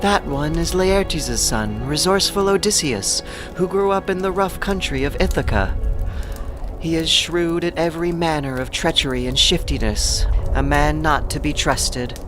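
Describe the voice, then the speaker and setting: A woman's voice: deep voice, normal speed, over music, lightly acted. A woman playing a sorrowful, regretful noblewoman weighed down by shame in an epic audio drama. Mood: sorrowful, explaining. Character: sorrowful, ashamed noblewoman, regretful and self-reproaching.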